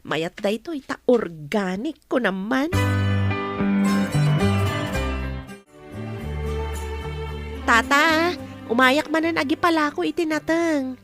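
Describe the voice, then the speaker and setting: An earnest young village wife in a radio drama. Her voice is fairly high, with an Ilocano accent from the Philippines. Soft music sits under her worried, sincere delivery. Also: lively intonation, normal speed. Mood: cheerful, musing. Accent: Filipino, Ilocano. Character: earnest young wife, a farm-produce seller troubled by suspicion.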